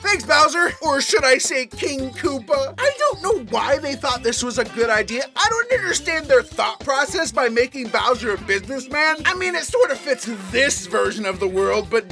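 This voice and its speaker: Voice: high voice, expressive, normal speed, hardly any pauses, sharp tone, soft background music. A video reviewer giving casual comedic commentary in a slangy way with exaggerated exasperation. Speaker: YouTube movie reviewer.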